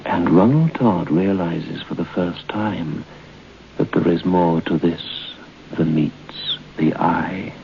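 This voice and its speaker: An actor playing an ominous storyteller, narrating an old-time radio horror tale in deliberate short phrases. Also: male voice, sits low, gravelly, full character voice. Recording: muffled, noisy old radio broadcast.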